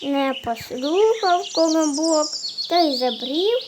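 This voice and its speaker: A high, unhurried woman narrating a rhyming children's tale in a gentle sing-song. Loud birdsong chirps behind her voice. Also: lively intonation, heavy background noise.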